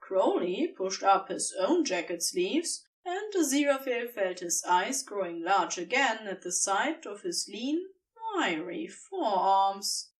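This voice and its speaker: A woman's voice: mid-range voice, big pitch swings, slow pace, few pauses, far from the mic, rough recording. A woman reading scripted story narration as the narrator of an audio fiction piece.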